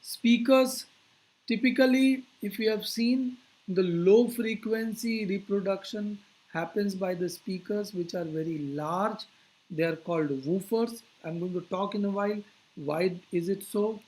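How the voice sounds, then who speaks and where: A physics teacher giving an explanatory lecture, phrasing things deliberately and posing rhetorical questions. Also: medium pitch, a little mellow, low-quality recording.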